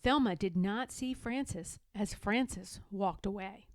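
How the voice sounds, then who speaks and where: A woman's voice: mid-range voice, takes it slowly, no echo. A woman narrating a children's storybook expressively as a read-aloud storyteller.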